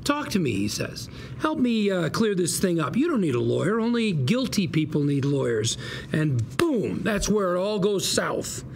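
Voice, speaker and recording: Small-time male lawyer: glib, persistent, salesman patter, crackly fry in the voice, clear background noise. TV drama read. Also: high voice, sing-song, exaggerated voice. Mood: persuasive. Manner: fast-talking salesman patter with hesitations. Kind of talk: acted dialogue at a table read. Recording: noticeable background room noise.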